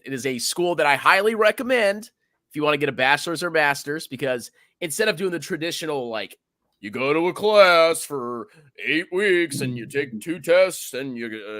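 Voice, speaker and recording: Animated deep-voiced man. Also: dry recording, studio quality. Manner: joking, laughing often, animated. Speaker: host. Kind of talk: casual podcast chat.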